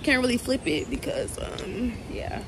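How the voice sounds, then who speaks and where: A woman's voice: high-pitched, steady tone, a little slow, creaky, noisy background. A woman in her twenties chatting loosely and profanely in a travel vlog, filmed on a handheld phone at a resort. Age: 20s. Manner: loose, profane, giggly banter. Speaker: vlogger. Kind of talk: casual travel vlog chat. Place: outdoors at a resort at night. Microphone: phone held at arm's length. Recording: loud music over the voice.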